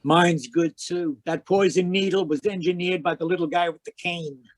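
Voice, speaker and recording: An actor playing a world-weary, wisecracking private detective in 1940s radio noir style. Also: male voice, high voice, steady tone, normal speed, no echo, lightly acted. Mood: wry, brooding. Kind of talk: 1940s radio noir acting, first-person narration. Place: home room, live video call performance.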